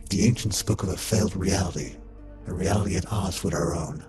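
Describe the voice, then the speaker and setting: A man's voice: low-pitched, lively intonation, fairly quick, a little mellow. A man voicing a solemn, devout robot warrior in a scripted audio drama, with grave, portentous delivery. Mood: ominous. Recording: echoey voice over music.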